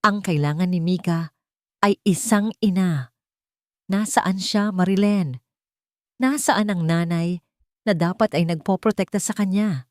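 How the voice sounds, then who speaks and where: A woman's voice: fairly low pitch, measured pace, long pauses, dry recording, clean studio sound, natural voice. A woman voicing a stern, determined grandmother in an audio drama story, firm, cold and accusing. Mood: rising anger. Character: stern, determined grandmother confronting her daughter.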